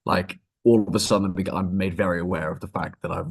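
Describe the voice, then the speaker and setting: Low-voiced young British man. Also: steady tone, quick pace, breathy edge. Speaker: podcast guest. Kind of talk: casual interview chat.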